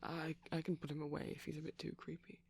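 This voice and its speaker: A man speaking softly and breathily in an ASMR boyfriend roleplay as a shy, gentle vampire, hesitant and often slipping into whispers. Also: on the high side, completely flat delivery, quick pace, gravelly, a little hiss or hum. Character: shy, gentle pastel vampire boyfriend showing off plush toys.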